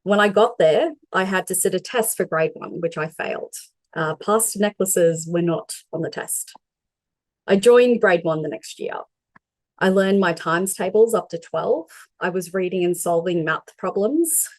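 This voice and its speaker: A woman's voice: fairly low pitch, unhurried, no echo. A young woman from Sri Lanka giving a prepared talk as a mental health advocate, dialing in over a video call. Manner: reads a prepared presentation steadily.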